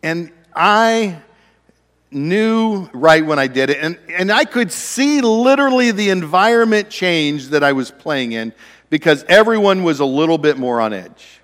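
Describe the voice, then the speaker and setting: Man, pastor, sermon, loud voice, slightly high, long hall reverb. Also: expressive, unhurried. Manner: conversational preaching with self-deprecating humor.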